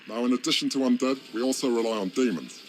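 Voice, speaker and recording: A man voicing an old one-eyed orc warlord, gruff and mocking, in a game cutscene. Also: mid-range voice, fast, soft background music, lightly acted, rough recording. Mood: scornful.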